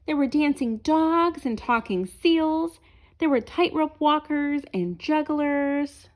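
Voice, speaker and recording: A woman reading a children's story aloud in an expressive narrating style, as if to young listeners. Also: high-pitched, slow pace. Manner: asks listeners questions as she reads. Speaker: storybook reader.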